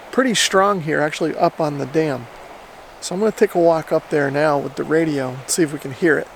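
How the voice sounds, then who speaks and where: A man's voice: a little high, gravelly, slight background noise. A man narrating a casual vlog in an informal, explanatory way, thinking aloud as he goes. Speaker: vlogger, radio hobbyist.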